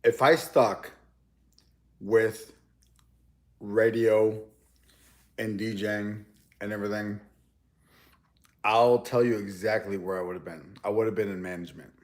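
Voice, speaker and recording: A man's voice: lowish voice, steady tone, measured pace, pauses often. A young man in a casual, rambling chat as he eats on camera, often restarting his sentences. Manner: rambling, loose, with many restarts. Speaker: mukbang YouTuber.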